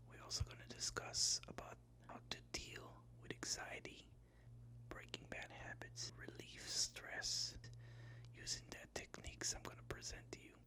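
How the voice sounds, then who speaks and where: A host whispering a sleep hypnosis session in a breathy, soothing, deliberate way, phrase by phrase. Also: bright, forceful tone.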